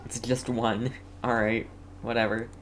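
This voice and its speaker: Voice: mid-range voice, little pitch movement, unhurried, a crowd in the background. A game streamer giving casual, chatty livestream commentary with light teasing.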